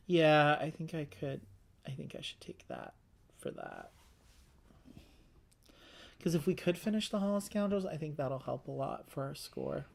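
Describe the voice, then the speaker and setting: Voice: medium pitch, very animated, measured pace, gravelly, pauses often. A board game player and co-host chatting casually through a playthrough, thinking aloud while planning moves.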